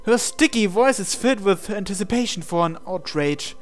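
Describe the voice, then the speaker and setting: A man's voice: sits high, lively intonation, normal speed, faint music behind. A man reading a visual novel aloud as a gamer, breaking into casual, incredulous asides. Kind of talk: game playthrough narration with casual asides.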